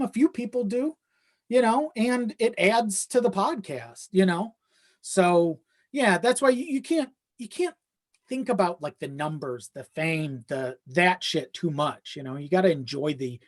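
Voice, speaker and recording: A man chatting casually as a podcast guest, rambling along and acting out his own asides. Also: high-pitched.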